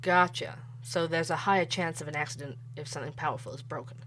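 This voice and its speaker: A woman's voice: low-pitched, fairly even intonation, fast talker, fairly bright tone, a little hiss or hum. A woman voicing a dogged, opinionated male podcast investigator who asks blunt, earnest questions. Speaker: podcast host. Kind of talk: audio drama acting.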